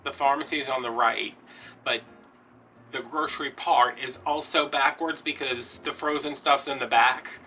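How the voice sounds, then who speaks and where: A man's voice: medium pitch, steady tone, measured pace, pushed and bright, distant mic, slight background noise. An American man in his late thirties chatting casually and rambling through playfully dramatic stories as he does a craft vlog. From New Orleans area, USA. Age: late 30s. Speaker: craft vlogger. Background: background music.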